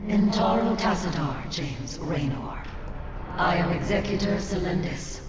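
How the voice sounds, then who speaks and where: An actress playing a proud, stern alien warrior commander in a video game, speaking formally and imperiously with an echoing effect. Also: female voice, fairly low pitch, lively intonation, a little slow, very noisy. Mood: stern, solemn. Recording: echoey, dull audio under loud music.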